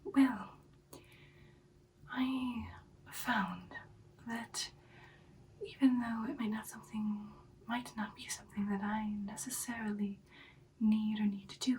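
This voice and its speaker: Whispered ASMR roleplay: woman as an ancient, self-assured vampire girlfriend, breathy, intimate, slightly distant from the mic over faint hiss. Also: mid-range voice, close to an everyday voice. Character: ancient confident female vampire girlfriend, expert sniper.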